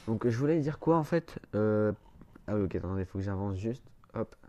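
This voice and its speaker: A man's voice: fairly low pitch, lively intonation, moderate pace, mellow, slight background noise. A man with a blocked, stuffy nose giving casual gameplay commentary, rambling and hesitant, full of fillers and restarts. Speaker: gaming YouTuber.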